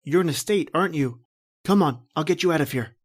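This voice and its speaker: A woman playing a panicked, bewildered husband lost in a nightmare, with halting, theatrical delivery in an old-style horror radio drama. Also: deep voice, expressive. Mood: uneasy, insistent. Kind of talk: dramatic first-person horror radio narration and acting.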